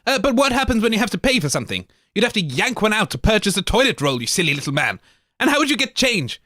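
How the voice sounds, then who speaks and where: A man's voice: high voice, very animated, fast talker, sharp tone, no room sound, studio quality. A man co-hosting a comedy radio show, blunt and grumpy.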